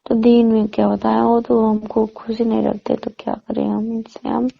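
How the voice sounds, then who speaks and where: Woman acting newlywed housewife, lonely and flirty, coaxing colloquial talk, fairly high voice, muffled phone recording. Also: monotone, normal speed, creaky, no room sound. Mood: complaining. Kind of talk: casual phone conversation skit.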